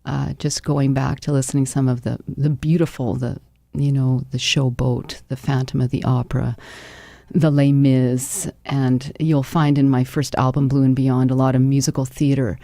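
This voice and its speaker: A woman's voice: a bass voice, flat delivery, moderate pace, vocal fry, mellow, no echo. A Canadian woman speaking effusively as a musician guest in a live radio interview. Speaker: interview guest, singer-songwriter. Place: radio studio.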